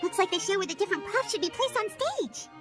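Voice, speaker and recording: A woman voicing a small, childlike, earnest fairy companion in an anime game, squeaky and full of curiosity. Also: expressive, quick pace, music in the background. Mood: curious.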